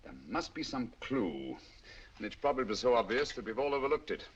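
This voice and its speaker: An actor playing a calm, shrewd male detective in a 1940s film, with a cool, measured delivery. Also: medium pitch, lightly acted. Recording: dull old film soundtrack with hiss.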